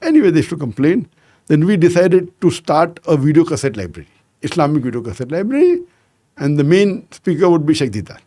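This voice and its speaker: A man in his sixties telling anecdotes as a podcast guest, measured and sprinkled with "you know". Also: a little high, very animated, dark tone, no echo. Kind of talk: conversational storytelling interview.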